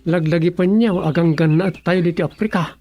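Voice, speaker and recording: High-pitched puzzled comic character. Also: male voice, fairly quick, music in the background. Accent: Filipino. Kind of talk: Ilocano radio comedy drama acting.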